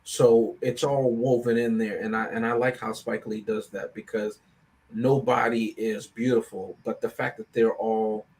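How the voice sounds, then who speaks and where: A man's voice: on the low side, a little mellow, rough recording. Discursive man talking through a film review. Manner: discursive, often checks with "right?". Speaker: panelist on a film review show. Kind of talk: casual analytical discussion.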